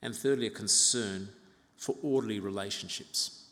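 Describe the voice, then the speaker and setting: A man in his fifties from Uganda preaches in a large church with long, ringing reverb. His voice sits on the low side, and he explains scripture in a measured, reflective manner, pausing and slipping in gentle asides. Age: 50s. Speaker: pastor preaching to own congregation. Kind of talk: expository sermon. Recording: reverberant hall.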